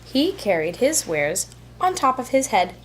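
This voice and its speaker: A woman preschool teacher reading a children's storybook aloud in an expressive, deliberate, rhythmic storytelling way. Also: on the high side, a little hiss or hum.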